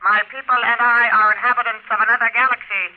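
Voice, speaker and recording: A man playing a calm, polite but menacing small alien leader in a 1950s radio drama, speaking precisely and formally. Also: extremely high pitch, quick pace. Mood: cool, formal. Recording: vintage radio recording, narrow telephone-like band.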